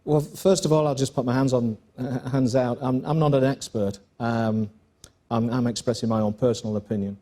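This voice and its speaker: English man, middle-aged, school principal type, mellow and measured, dry wit. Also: mid-range voice. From England. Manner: measured, explanatory, dry jokes. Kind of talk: panel interview on stage. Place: conference stage.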